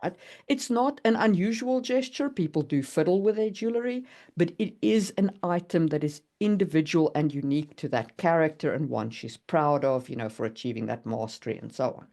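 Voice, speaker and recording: A woman's voice: low voice, normal speed, dark tone. Woman explaining thoughtfully in casual discussion. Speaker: writer co-hosting a writing podcast.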